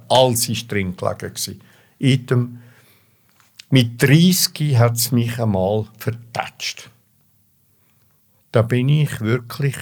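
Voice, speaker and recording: An older man with a Swiss German accent tells his own story spontaneously, emphatically repeating words for stress. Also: mid-range voice. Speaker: interview guest telling his life story.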